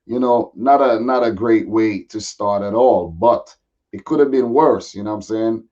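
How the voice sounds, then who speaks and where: A man with a slightly raspy voice chatting casually and in a laid-back way as a guest football pundit. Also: fairly low pitch, normal speed, mellow. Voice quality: a little raspy. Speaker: guest football pundit, Manchester City fan. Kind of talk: casual chat. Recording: dull, lo-fi audio.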